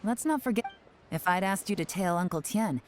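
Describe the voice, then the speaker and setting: A woman voicing a cool, commanding spymaster in a fantasy video game, speaking in a composed, measured way. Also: mid-range voice, lively intonation, darkish tone, slight background noise, close to an everyday voice. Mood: cool, in control. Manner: composed, measured, giving orders. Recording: background music under the voice.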